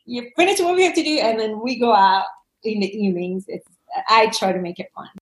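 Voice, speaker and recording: A woman's voice: on the high side, a little fast, roomy sound, rough recording. A woman in her forties from Indonesia, talking chattily as an interview guest, laughing often and restarting her sentences. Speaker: parent coach, guest. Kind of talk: casual interview answers.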